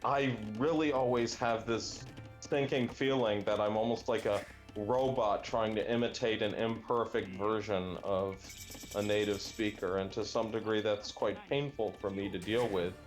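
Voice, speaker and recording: A young man chats as he plays, his voice sitting fairly low. He muses aloud and keeps stopping to restart his sentences with ums and uhs. Faint game music hums underneath. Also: steady tone. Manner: musing, halting with many fillers and restarts. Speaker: language gaming guest. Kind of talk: casual chat while gaming.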